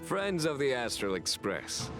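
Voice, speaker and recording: An actor playing a calm general who speaks formally and measuredly in a video game. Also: male voice, mid-range voice, measured pace. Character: calm male general addressing allies. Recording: game audio over music.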